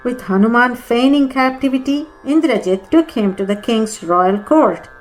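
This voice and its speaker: A woman giving scripted, measured narration of a devotional epic audiobook. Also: fairly high pitch. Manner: measured storytelling. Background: Carnatic music with sitar.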